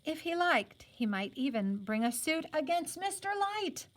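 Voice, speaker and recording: High-voiced, very animated woman reading a story. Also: unhurried. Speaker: teacher reading a book aloud. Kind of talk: expressive story read-aloud with brief explanatory asides.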